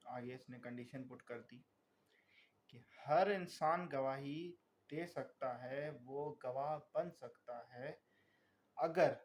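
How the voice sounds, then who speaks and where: Male tutor, Pakistani accent, unhurried didactic lecture delivery, mid pitch, slight background noise. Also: a little mellow. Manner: deliberate, didactic, repeatedly checking understanding. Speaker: law tutor. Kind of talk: explanatory lecture, reading statute and paraphrasing.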